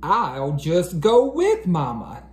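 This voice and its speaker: A man's voice: a little high, wildly swooping pitch, slow pace, a little mellow, heavily acted. Man voicing an eager little bunny, echoey room. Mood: wishful. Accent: American. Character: eager little girl bunny, wishful and excitable. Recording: roomy echo, background noise.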